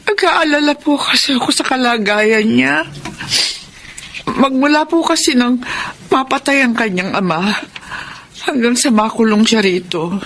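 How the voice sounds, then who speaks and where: A woman's voice: a little high, a little slow, long pauses, a bit bright. A woman with a Filipino accent playing a humble, anxious older mother in a radio drama. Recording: faint background noise and ambience.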